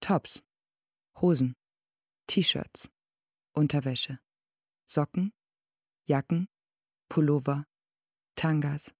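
An actress playing a plain advert voice-over in a radio play, reading a bare list item by item. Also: female voice, low-pitched, dry recording, clean studio sound, heavily acted. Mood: neutral, deliberate. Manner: deliberate, bare listing. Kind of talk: scripted advert read, item by item.

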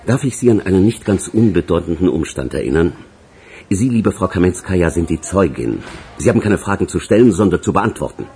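A man's voice: low-pitched, animated, fairly quick, mellow, slight background noise. An actor playing a condescending veteran police investigator in a German radio crime drama, formal and pedantic as he interrogates. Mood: pompous rebuke.

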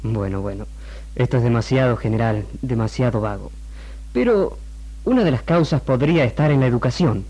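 An actor with an Argentine accent playing a formal, didactic doctor giving an interview in a 1960s radio drama. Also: male voice, medium pitch, animated, moderate pace, dark tone, husky, muffled recording. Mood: formal, explanatory. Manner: formal, lecturing. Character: measured, didactic doctor interviewed on television about marriage.

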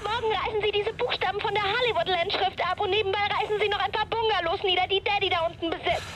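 Very high-voiced, insistent young mistress on phone. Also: female voice, steady tone, fast, noisy background. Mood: pleading, insistent. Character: clinging rich man's daughter phoning her evasive lover. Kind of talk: radio drama dialogue.

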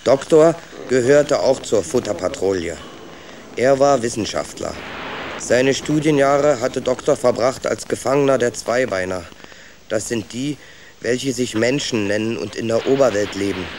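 An actor playing a young sewer rat who calmly narrates his story in a children's radio play, measured and matter-of-fact. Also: mid-range voice, a little hiss or hum, lightly acted. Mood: calm, storytelling.

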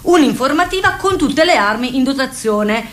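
A woman's voice: high voice, lively intonation, moderate pace, fairly bright tone. A woman giving scripted, theatrical narration with an ironic edge in a radio play. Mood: ironic, narrating. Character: ironic female narrator questioning police.

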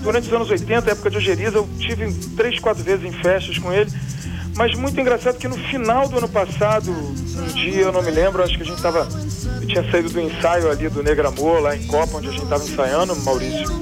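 A man, a musician guest on a radio show, telling stories in an animated, joking way. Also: high voice, a little fast. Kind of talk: casual radio chat. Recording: music bed under radio talk.

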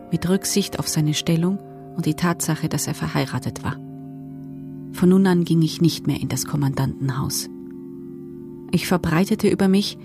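An actress playing a former female camp prisoner who gives subdued, sober, measured testimony in a documentary radio drama. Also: on the low side.

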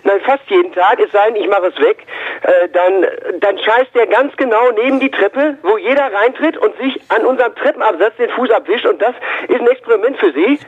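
A man's voice: high voice, fairly quick. A man talking bluntly and indignantly over a telephone. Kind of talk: phone conversation. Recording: narrow, tinny phone line.

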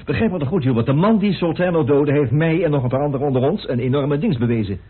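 Stubborn, wry, husky-voiced man. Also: fairly high pitch, fast. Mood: stubborn, mocking. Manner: wry, evasive, emphatic. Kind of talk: radio play acting. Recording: muffled phone-like old radio recording.

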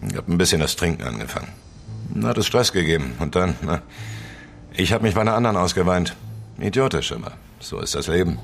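A gloomy investigator in a radio crime drama, with a very deep voice. He talks tersely and dryly, always probing with questions. Music plays clearly behind him. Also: big pitch swings, quick pace. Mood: resigned. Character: gloomy, probing male investigator with a troubled private life. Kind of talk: naturalistic German radio crime drama acting.

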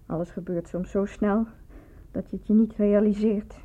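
A woman's voice: medium pitch, fairly even intonation, normal speed, warm tone, lightly acted. Actress as lovestruck, rueful woman, thin tinny recording. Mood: bitter, rueful. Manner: reflective, confessional. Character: lovestruck, self-reproaching provincial woman recalling her affair. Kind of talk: radio play acting. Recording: thin, phone-like old radio recording.

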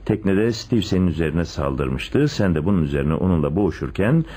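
An actor playing a calculating police chief with a secret lover, deliberate and probing, in a radio drama. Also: male voice, sits low, animated, warm tone, husky. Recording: radio drama with audible background noise.

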